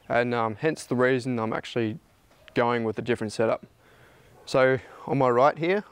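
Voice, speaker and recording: A man's voice: mid-range voice, clear, resonant tone. A man, chatting casually to camera about his car roof rack setup. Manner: relaxed, chatty, plain-spoken. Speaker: off-road vlogger. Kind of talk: casual product talk to camera. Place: outdoors in a backyard, then a plain indoor room.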